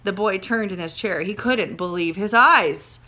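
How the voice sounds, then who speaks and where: A woman teacher reading a children's story aloud with dramatic emphasis, pausing to speak directly to her pupils. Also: mid-range voice, bright, forceful tone. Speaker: second grade teacher. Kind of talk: expressive storybook read-aloud with teaching asides.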